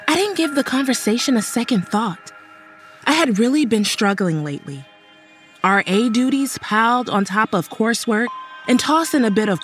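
Higher-voiced burnt-out student, played by a woman. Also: natural voice. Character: burnt-out, anxious, sardonic female college student, narrating. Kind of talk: audio drama acting and first-person narration.

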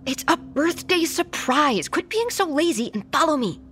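Bossy kid, high swooping voice, hushed, insistent, horror audio drama. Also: female voice, big pitch swings, moderate pace, slight background noise. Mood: hushed urgency. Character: bossy, secretive young girl leading friends away.

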